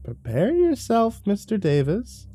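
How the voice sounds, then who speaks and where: A man voicing a small, foul-mouthed male squirrel who talks in a high, excitable squeak. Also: unhurried, faint music behind, full character voice. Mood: scheming. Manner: squeaky, gleeful, crude.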